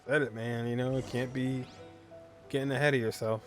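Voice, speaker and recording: A man's voice: mid-range voice, little pitch movement, fairly quick, soft background music. A man giving casual reaction commentary while he plays a video game. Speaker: gamer playing on stream or video.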